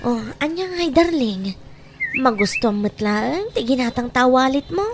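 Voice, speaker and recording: A woman's voice: high voice, sing-song, moderate pace, music in the background. A woman playing a swaggering, sweet-talking tomboy lover in a comedy radio drama, casual and wheedling, always after money.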